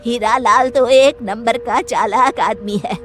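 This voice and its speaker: A woman acts out a stern village headman from a story, slow and emphatic. He sounds authoritative, with faint music behind. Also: fairly high pitch, fairly even intonation, a bit bright. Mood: angry.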